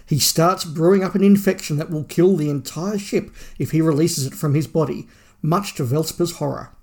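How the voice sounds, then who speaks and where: Male co-host for podcast plot recaps. Somewhat high-pitched voice, reading from a script. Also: normal speed, gravelly, a little mellow. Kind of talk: scripted plot summary narration.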